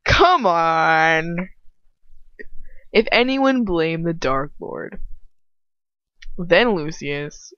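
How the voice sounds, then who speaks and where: Blunt, sarcastic low-voiced woman, lo-fi sound. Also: expressive, very slow, long pauses, a bit bright, dry recording. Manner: blunt, sarcastic, exasperated, swears freely. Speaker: amateur fan fiction reader and commentator. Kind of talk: casual, rambling commentary on a story. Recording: dry, dull lo-fi home recording.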